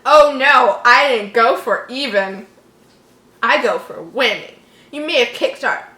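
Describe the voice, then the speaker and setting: Casting: woman playing cocky, vengeful teen boy bully for a horror story, confrontational, higher pitch, roomy sound. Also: lively intonation, measured pace, bright, forceful tone. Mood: defiant. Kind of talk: dramatized horror story read-aloud.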